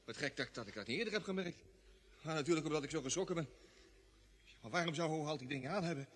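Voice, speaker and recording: An actor playing a jittery, excitable space crewman in a vintage Dutch radio drama. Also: on the high side, fast talker, natural voice. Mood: uneasy. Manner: agitated, questioning. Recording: old radio recording, long reverb.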